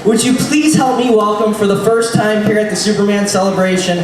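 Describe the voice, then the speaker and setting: A man moderates a live fan panel, giving his guests bright announcer-style introductions. He sits well off the mic in a large, very echoey hall. A clear hiss runs under his voice. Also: high voice, steady tone, a little fast. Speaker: panel moderator and podcast host. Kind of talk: live convention panel hosting. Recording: echoey hall miked from far off with noise.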